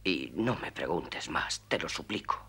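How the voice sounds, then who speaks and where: A man's voice: extremely low pitch, animated, unhurried, sharp tone, husky, full character voice. An actor in a Spanish cartoon dub playing a guilt-ridden middle-aged drinker who hides his real name, pleading and desperate.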